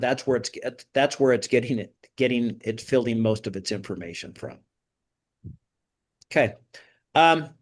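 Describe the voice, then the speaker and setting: Man, mid-pitched, group host, informal teaching, conversational, question-led. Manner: conversational, prompts participants with questions. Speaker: host of a job-search group session.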